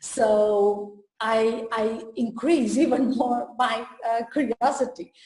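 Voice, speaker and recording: Slow, hesitant woman from Portugal. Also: a little high, fairly even intonation, darkish tone. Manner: slow and hesitant, many fillers and pauses. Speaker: trainer and coach. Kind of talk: casual interview talk. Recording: echoey room.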